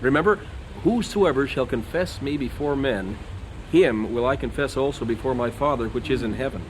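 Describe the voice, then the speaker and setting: A man's voice: medium pitch, moderate pace, lightly acted, rough recording. An actor as an earnest missionary man, vehicle noise behind. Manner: persuasive, courteous, preacherly. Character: earnest, quick-witted young American missionary man. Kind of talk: radio drama acting.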